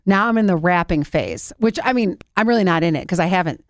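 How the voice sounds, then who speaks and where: A woman's voice: lowish voice, animated, fast talker, dry recording, clean studio sound. A woman co-hosting a podcast in casual banter, playful and teasing, laughing easily. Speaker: podcast co-host. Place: podcast studio.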